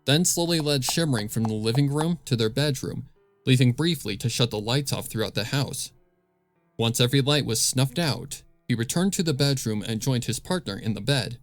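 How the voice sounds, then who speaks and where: A man reads a scripted story as narrator. His voice is of medium pitch, recorded close and dry, with music underneath. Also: dry recording. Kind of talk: scripted fan fiction narration.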